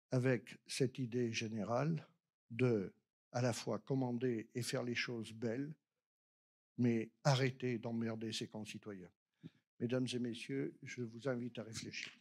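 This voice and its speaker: Guy talking at a round table in an auditorium, emphatic, average pitch. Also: fairly even intonation, unhurried, darkish tone, breathy edge. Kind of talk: public talk to an audience. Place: library auditorium.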